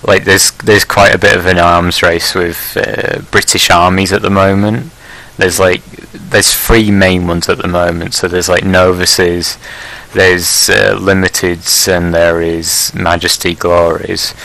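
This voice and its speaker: A man with a very low voice and a bright, forceful edge to it. He chats casually on a podcast and rambles through his points with plenty of fillers and restarts. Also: level tone, measured pace, creaky, few pauses, low-quality recording. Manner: laughs at his own blunt jokes. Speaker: leader of a Prussian group in an online game.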